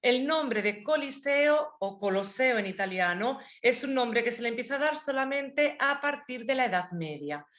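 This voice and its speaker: A woman from Spain with a Spanish accent, a tour guide explaining history in a webinar, pausing often with fillers. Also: fairly high pitch, lively intonation, a bit bright, dull audio. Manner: hesitant, frequent fillers, explanatory. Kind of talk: webinar presentation. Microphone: video call.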